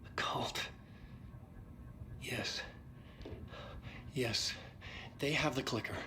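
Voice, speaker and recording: An actor playing a troubled, confused writer in a horror video game, speaking urgently in halting, intense phrases. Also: male voice, medium pitch, noisy background. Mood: dazed, uncertain. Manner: halting, repeating words, intense. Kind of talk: dramatic video game acting.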